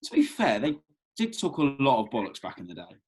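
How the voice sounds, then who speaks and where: A man's voice: mid-range voice, wildly swooping pitch, a little fast, darkish tone, breathy edge. An actor playing a cheeky, bumbling young British man, colloquial and hesitant, in a read-through of a comedy script. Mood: placating, teasing. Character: easygoing, cheeky, bumbling young bloke at festival.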